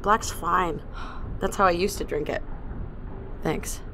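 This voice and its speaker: A woman's voice: slow pace, background noise. A woman playing a tired, guarded ship engineer who gives short, dry replies. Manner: dry, low-key, curt replies.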